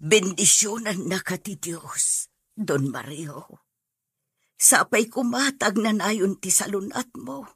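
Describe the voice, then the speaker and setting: A woman's voice: medium pitch, slow pace, fairly bright tone, husky, no echo, studio quality, exaggerated voice. A woman playing a humble, pious mother in an Ilocano radio drama, earnest and imploring. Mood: pleading, grateful.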